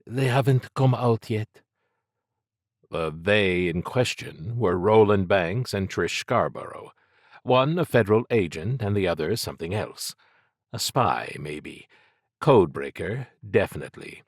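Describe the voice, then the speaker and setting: A male audiobook narrator with a fairly low voice. He reads fiction in a measured, dramatic storytelling manner, and the recording is dry and free of room sound. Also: sing-song, no echo.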